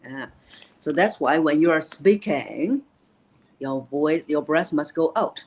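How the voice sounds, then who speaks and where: A woman's voice: low voice, lively intonation, slow pace, darkish tone. A woman voice coach in a live interview, explaining vocal technique in an instructive way. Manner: instructive, demonstrates voices while teaching. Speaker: voice coach and keynote speaker.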